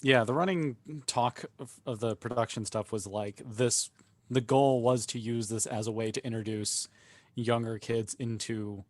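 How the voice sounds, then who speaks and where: A man's voice: mid-range voice, steady tone, a little slow, a little mellow, no echo. A man chatting casually as a panelist on a fan talk show. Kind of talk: casual chat.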